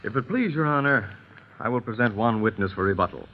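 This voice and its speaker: An actor playing a formal trial lawyer, questioning in a measured way in an old radio drama. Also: male voice, on the low side, animated. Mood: formal, assertive. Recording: muffled vintage radio recording.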